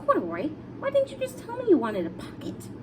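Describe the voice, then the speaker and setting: A woman's voice: very high voice, huge pitch swings, fairly quick, background noise, pitched above the performer's own voice, heavily acted. A woman voicing an affectionate, eager little girl in an emotive storybook reading. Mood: plaintive. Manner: emotive, earnest.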